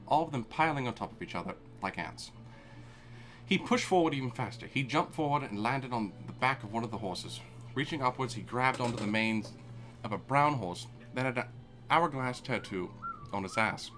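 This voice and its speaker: Guy reading fanfic out loud for laughs, super lively pitch, keeps cracking up and cursing, faint music behind. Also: normal speed, rough recording. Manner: dramatic reading, breaks off laughing and swearing. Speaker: fanfic reader. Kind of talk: comedic live fanfic reading.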